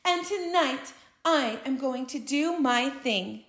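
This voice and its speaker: A timid little frog who stumbles over his words and pleads to be allowed to sing, as a woman storyteller puts him on. The voice sits high and rings in a roomy space. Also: expressive, slow, a bit bright, exaggerated voice. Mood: shy, pleading. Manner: stammering, timid. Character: shy, hopeful young male frog who wants to sing. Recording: roomy echo.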